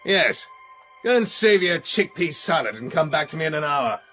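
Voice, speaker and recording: A man's voice: on the high side, very animated, moderate pace, sharp tone, in a large hall, faint music behind. A man acting in a radio drama as a haughty, hot-tempered count who orders people about imperiously. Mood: brisk, bossy. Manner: imperious, blustering.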